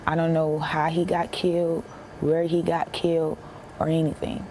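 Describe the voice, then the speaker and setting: A young American woman giving a personal account in an interview, plain-spoken and halting, repeating herself for emphasis. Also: low-pitched, little pitch movement, dark tone, slight background noise, rough recording. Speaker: interviewee, bereaved military widow.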